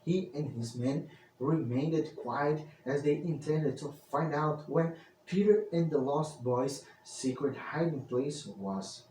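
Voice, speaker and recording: A man's voice: on the high side, slow, a little mellow. A man narrating a scripted tale as an old-fashioned storyteller, with theatrical, grandiose delivery. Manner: theatrical, grandiose greetings and sign-off. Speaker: storyteller, channel host. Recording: roomy, distant mic with faint noise.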